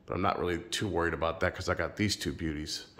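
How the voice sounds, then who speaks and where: A man filming a casual home vlog in his sunroom, a little nasal and stuffed up from a sinus infection, talking in a relaxed, rambling, friendly way. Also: sits low, fast. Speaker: ceiling fan collector vlogger. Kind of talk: casual show-and-tell vlog.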